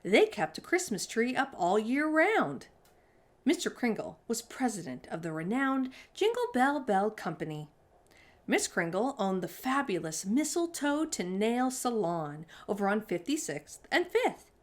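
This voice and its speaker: A woman's voice: on the high side, big pitch swings, measured pace. A woman hosting a children's story time, reading a storybook and addressing young listeners directly with exclamations.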